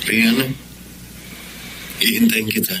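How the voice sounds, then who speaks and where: A man's voice: medium pitch, little pitch movement, unhurried, sharp tone. A man playing a lovesick, pleading young suitor on a phone call in a radio melodrama. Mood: yearning. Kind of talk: Tagalog radio melodrama acting. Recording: faint noise and ambience behind.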